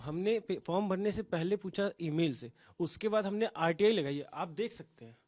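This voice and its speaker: A man's voice: sits high, moderate pace, a little mellow, slight background noise. A man, complaining insistently and pleadingly in a news interview, repeating himself. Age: 20s. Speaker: job candidate interviewed by news. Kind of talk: impassioned spoken complaint to reporter.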